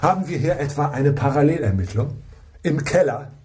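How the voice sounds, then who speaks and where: Gruff, sarcastic police investigator played by a man. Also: fairly high pitch, expressive, normal speed, darkish tone, a little hiss or hum, natural voice. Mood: irritated, sarcastic. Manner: sarcastic, authoritative. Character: gruff, irritable male police lead investigator. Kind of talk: German radio crime drama acting.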